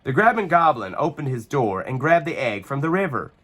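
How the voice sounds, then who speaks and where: A man reading a children's picture book aloud with expressive, animated storytelling. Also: medium pitch, brisk.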